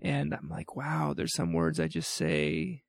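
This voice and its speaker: A man's voice: sits low, big pitch swings, vocal fry, no room sound, clean studio sound. A young man from New Brunswick with a New Brunswick accent, co-hosting a casual podcast with playful, joking asides. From New Brunswick, Canada. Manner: jokey, playful, quick asides. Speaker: podcast co-host. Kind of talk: casual chat.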